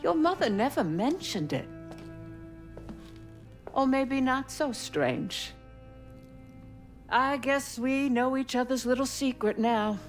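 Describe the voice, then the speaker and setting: An older Southern lady speaks very slowly, with a coy, condescending courtesy that hides menace. It is a game cutscene performance, with music clearly under the voice. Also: high voice, lively intonation. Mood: coy, probing. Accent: American South. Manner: measured, coy, condescending politeness. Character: genteel, menacing older Southern matriarch with secrets. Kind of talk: video game cutscene acting.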